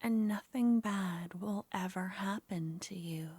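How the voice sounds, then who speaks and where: A woman voicing a clingy, menacing yandere witch in an ASMR roleplay, speaking in a soft, coaxing whisper. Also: medium pitch, slow, slightly rough, dry recording.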